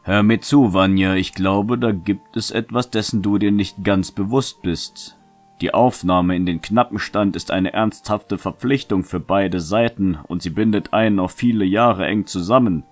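A man plays a seasoned knight with a low voice. He speaks formally and with measured, fatherly authority, as in a fantasy audio drama. Faint music plays underneath. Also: close to an everyday voice. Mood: earnest, measured. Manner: measured, formal, gently admonishing.

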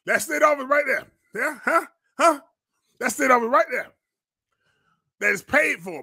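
Fiery, high-pitched male preacher. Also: vocal fry, pushed and bright, slightly rough, dry recording. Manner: indignant, emphatic, repeats phrases, rhetorical questions. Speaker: pastor and online show host. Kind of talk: fiery live-stream commentary, preacher's cadence.

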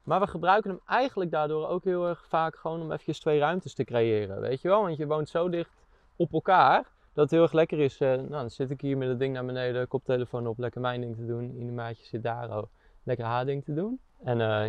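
A young Dutch man giving a relaxed, chatty tour of the camper van he lives in, with many hesitations. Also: mid-range voice, fairly quick, dry recording, muffled recording. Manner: relaxed, hesitant with many fillers, laughs often. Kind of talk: casual tour chat. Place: inside a small camper van, outdoors by it.

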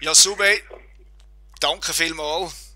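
A man hosts a podcast, his voice slightly hoarse and strikingly bright. He is a touch higher than most men and talks easily, with fillers. The sound is dry and close. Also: extremely slow, no echo, rough recording. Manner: relaxed, lots of fillers and repeats. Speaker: podcast host. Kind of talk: casual interview and show outro.

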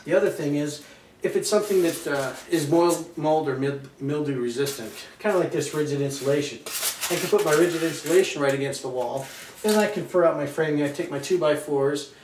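A man's voice: on the high side, a little fast, darkish tone. A man in his thirties giving a home improvement walkthrough outside a house and in a basement, explaining step by step and correcting his own slips. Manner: explanatory, trips over words then corrects. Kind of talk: instructional walkthrough. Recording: roomy, distant, faint background hiss.

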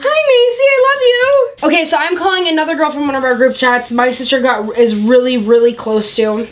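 A woman's voice: sits high, fairly quick. A young woman chatting casually and playfully on a prank call, with a giggly manner. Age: young adult. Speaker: prank caller, YouTube vlogger. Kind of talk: casual prank phone chat.

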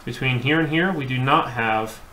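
A man's voice: mid-range voice, moderate pace, pushed and bright. A man, an HVAC technician, giving a hands-on demonstration at his workbench, explaining plainly and repeating himself with many fillers. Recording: echoey room, faint background hum.

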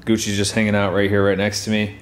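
A man's voice: lowish voice, fast. A man in his thirties chatting casually to the camera about his training day, filmed at arm's length in a home kitchen. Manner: relaxed, chatty, rambling. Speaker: professional soccer player vlogger. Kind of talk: casual vlog chat. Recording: echoey room with faint noise.

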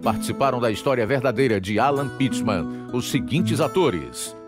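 A man with a Brazilian accent delivering scripted evangelistic narration as a radio announcer, formal and clearly phrased. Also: medium pitch, expressive, moderate pace. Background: guitar music.

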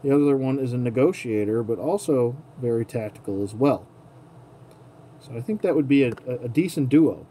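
Male hobby host, medium pitch, casual tutorial delivery, muttering along as he works, noticeable room noise. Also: normal speed, dark tone. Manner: murmurs to himself while working with his hands. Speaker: toy collector host. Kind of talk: casual hands-on tutorial commentary. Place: indoor tabletop setup. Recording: clearly noisy room ambience.

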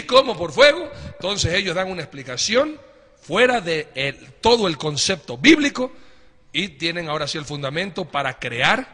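A middle-aged man hosting a religious programme, explaining emphatically to viewers with drawn-out stresses and rhetorical questions. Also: high voice, pushed and bright. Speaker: host of a religious panel programme. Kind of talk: preaching-style explanation to viewers. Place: wood-panelled indoor studio or meeting room. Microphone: handheld microphone. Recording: very echoey room.

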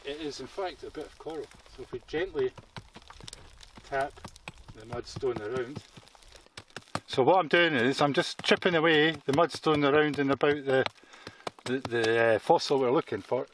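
Male field guide, fifties, slightly raised pitch, explanatory and informal, noisy open-air sound. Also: moderate pace, a bit bright. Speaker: geology guide. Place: outdoors at a quarry rock face. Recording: clearly noisy outdoor recording with clicks.

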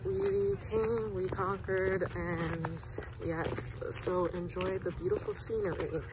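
A woman's voice: fairly low pitch, fairly even intonation, slow, noisy background. A young woman vlogging outdoors at an orchard, chatting casually to her viewers, filmed on a phone held at arm's length. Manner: chatty and upbeat, talking to viewers. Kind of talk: casual vlog chat. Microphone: phone camera at arm's length. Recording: loud music and crowd chatter behind. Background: loud music and crowd chatter.

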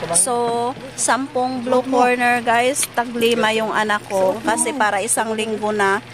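A Filipino woman in her thirties talks slowly and chattily to her viewers, calling them "guys" as she shops. Her voice sits a little high. A phone catches it over the clear bustle of a street market. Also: flat delivery. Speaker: family vlogger. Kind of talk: casual walking vlog. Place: busy outdoor market and street. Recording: noisy street market recording.

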